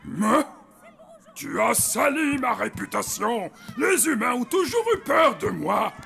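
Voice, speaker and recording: A man voicing a proud, grumpy bogeyman monster in an animated cartoon, haughty and scolding, with a gravelly, sharp voice. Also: very high voice, takes it slowly, soft background music, heavily acted. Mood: outraged. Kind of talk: animated cartoon dub acting.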